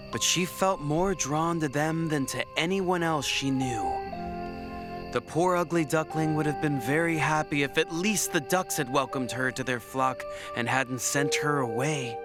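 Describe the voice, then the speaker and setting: A man's voice: on the high side, unhurried. A man reading a scripted children's bedtime story as the narrator, with music behind him.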